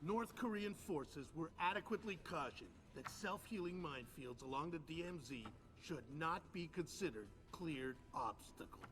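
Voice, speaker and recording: An actor playing a blunt, hawkish military advisor who speaks forcefully in a game cutscene. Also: male voice, on the high side, lively intonation, moderate pace, few pauses. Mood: insistent. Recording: game soundtrack with music underneath.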